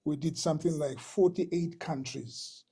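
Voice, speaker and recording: An older man from Tanzania, a musician being interviewed, telling his stories slowly with many pauses and repeated words. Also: on the high side, fairly even intonation, mellow, slightly rough. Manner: slow, hesitant, with many uhs and repeats. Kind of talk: relaxed storytelling.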